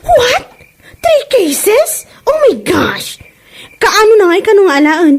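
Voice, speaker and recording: A woman playing a friendly young chili oil seller in a comedy audio drama, with an exclamatory, expressive way of talking, in Ilocano. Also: very high voice, slow pace, very noisy, heavily acted. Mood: amazed, excited.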